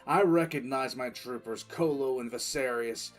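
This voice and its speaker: An actor playing a stern, brooding military commissar, recounting a war in deliberate, dramatic audio drama narration. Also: male voice, mid-range voice, lightly acted. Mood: grim, scornful. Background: music.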